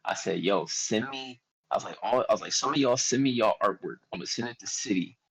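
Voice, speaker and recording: A man's voice: on the low side, brisk, slightly rough, poor audio. A young man with a Philadelphia accent telling a story in a casual chat, loose and slangy, often quoting himself. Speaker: guest artist.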